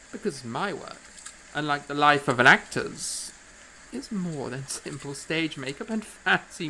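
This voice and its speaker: An actor playing an earnest, impassioned and self-doubting young composer in a period audio drama, with stammering, effusive, formal old-fashioned speech. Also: male voice, fairly high pitch, gravelly, bright, forceful tone, a little hiss or hum, full character voice, low-quality recording. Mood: impassioned, yearning.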